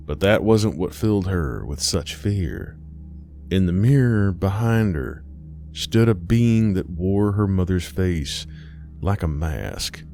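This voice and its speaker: Male narrator for scripted horror tale: low register, slow delivery, soft music underneath. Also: creaky.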